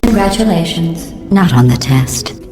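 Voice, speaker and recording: A woman voicing a sardonic female artificial intelligence in a video game, deadpan and falsely polite, with a synthetic robotic sound. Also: fairly low pitch, unhurried, over music. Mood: deadpan mockery. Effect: synthetic robotic voice processing. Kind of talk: scripted video game voice acting. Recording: echoey game audio, clearly noisy background.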